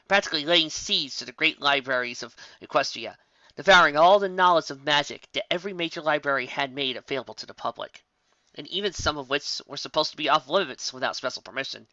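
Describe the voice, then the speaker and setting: A narrator giving an expressive storytelling reading of fan fiction. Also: medium pitch, fast talker, no echo. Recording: dull, muffled home recording.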